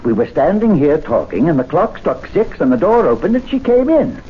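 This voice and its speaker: An actor playing a scornful gentleman club member in a 1970s radio drama, mocking by turns and menacing, on a dull broadcast recording. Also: a little high, sing-song, moderate pace, slightly rough. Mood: furious. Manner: mocking, rapturous, then menacing.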